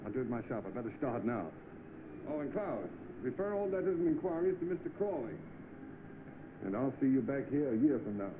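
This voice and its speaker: A rich, idle English gentleman, played by a man comes through a narrow, very noisy old film soundtrack. His speech is polite, clipped and determined, with the understatement of vintage British screen acting. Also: mid-range voice, sing-song, fairly quick, natural voice. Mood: brisk, courteous.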